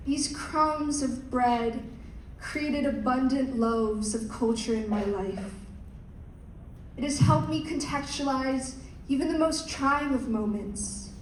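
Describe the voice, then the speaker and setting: A young woman, a pageant contestant, reciting a rehearsed speech in a slow, measured way. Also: high-pitched, a little hiss or hum. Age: young adult. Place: large hall. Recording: echoey hall from far off.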